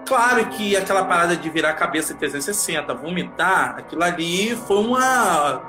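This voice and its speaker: A man's voice: sits high, expressive, brisk, over music. A man co-hosting a casual live chat, joking around and talking in a playful, slangy way.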